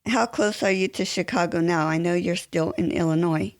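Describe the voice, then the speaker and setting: A woman's voice: on the low side, normal speed. A woman hosting a podcast, reading a friendly scripted introduction and asking interview questions. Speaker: podcast host. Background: faint music.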